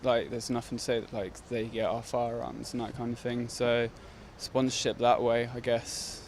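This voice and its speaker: A man's voice: medium pitch, flat delivery, normal speed. A man answering a street interview in an informal, tentative way. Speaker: member of the public interviewed. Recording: outdoor street noise behind.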